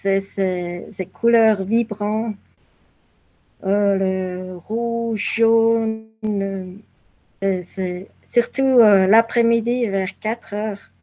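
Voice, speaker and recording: A woman from southern Africa answering interview questions reflectively, halting as she searches for her words. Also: mid-range voice, steady tone, dark tone. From southern Africa (Zambia and Zimbabwe). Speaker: interview guest, painter.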